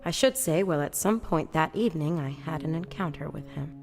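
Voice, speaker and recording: A woman's voice: sits low, brisk, a little mellow. Brassy guarded noir showgirl, radio drama, big reverb, music behind her. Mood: guarded, sardonic. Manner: sardonic, theatrical. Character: brassy, guarded femme fatale stage performer, sardonic, secretly vulnerable. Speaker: actor in an audio drama.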